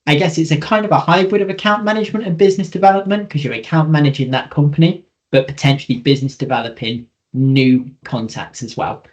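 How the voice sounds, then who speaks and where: Man presenting software tips informally. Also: fairly high pitch, fast, few pauses, dull audio. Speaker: webinar co-presenter.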